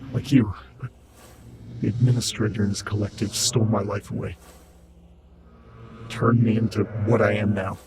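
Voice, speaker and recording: An actor in an audio drama playing a disembodied, once-human servant spirit, commanding and impatient, with formal, urgent speech. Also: male voice, little pitch movement, moderate pace, pauses often, over music, lightly acted. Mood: grave, urgent. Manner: stern, urgent, formal and cryptic. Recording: loud rumbling background noise.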